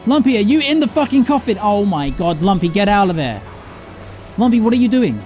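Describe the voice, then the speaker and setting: A man doing excited live game commentary as a streamer, exclamatory, repeating himself and swearing casually. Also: sits high, a little fast, faint music behind. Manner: exclamatory, repeats words and phrases.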